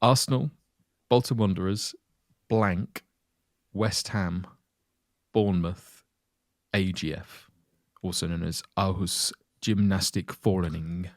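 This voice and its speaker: A man hosting a football quiz on a casual podcast, reading out clues in steady lists. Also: low voice, slow pace, long pauses, dry recording, clean studio sound. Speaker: quiz host.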